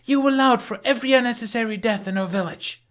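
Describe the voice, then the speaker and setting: Guy doing a fiery young viking warrior woman for an audiobook, high-pitched, indignant, accusing and emphatic. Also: fast talker, a bit bright. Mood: outraged. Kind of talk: audiobook character acting.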